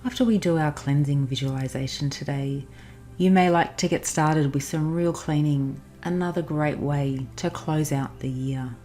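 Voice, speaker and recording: A woman narrating a guided meditation in a soothing, instructional manner with pauses. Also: sits low. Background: soft background music.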